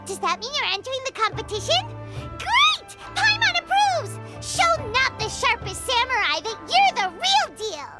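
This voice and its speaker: A woman voicing a small, excitable, childlike fairy companion with a squeaky voice in a video game. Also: moderate pace. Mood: delighted. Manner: excitable, speaks of self in third person. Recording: music playing loudly behind voice. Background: prominent game music.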